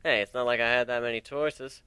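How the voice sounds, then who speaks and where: Pegasus husband played by a male reader in a story reading: dry, teasing, devoted, mid-pitched, close dry sound. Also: steady tone, a little fast, pushed and bright, clean tone, dry recording, close to an everyday voice. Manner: dry, matter-of-fact.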